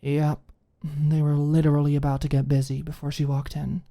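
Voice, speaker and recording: Low, mellow female audiobook narrator. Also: little pitch movement, normal speed. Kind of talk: scripted story narration, ASMR audiobook.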